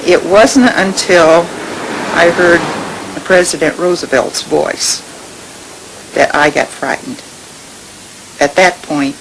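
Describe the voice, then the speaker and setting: A woman's voice: deep voice, poor audio. An elderly woman telling a personal memory as an anecdote in an interview. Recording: faintly hissy interview recording.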